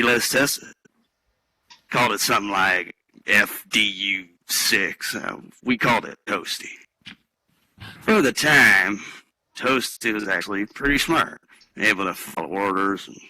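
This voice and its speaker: Guy doing a bitter ex-firefighter, drama reading, kinda deep, halting and slow, really dry close sound. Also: sharp tone, no room sound, rough recording. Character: gruff embittered male ex-firefighter, haunted, angry at machines. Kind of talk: dramatic live script reading.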